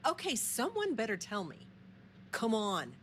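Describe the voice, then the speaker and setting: A woman's voice: a little high, huge pitch swings, unhurried, a bit bright, exaggerated voice. Dry, wry spacefaring woman, noisy background. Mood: wry, coaxing. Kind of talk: video game character dialogue. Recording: clear background noise.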